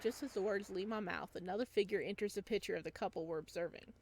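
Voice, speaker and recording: A woman's voice: mid-range voice, fast, darkish tone, slight background noise. A woman reading a visual novel aloud as a let's play host, with casual, amused asides.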